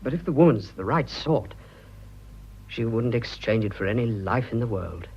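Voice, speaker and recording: An actor playing an earnest, boyish young colonial planter with a British accent in a radio drama. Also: male voice, medium pitch, expressive, normal speed, a little mellow, husky, lo-fi sound.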